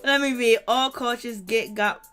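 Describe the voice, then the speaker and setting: Hoarse woman, fairly high voice, fervent livestream host, faint music under her. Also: measured pace, a bit bright. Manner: fervent, preachy, talks to chat viewers. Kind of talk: impassioned livestream commentary.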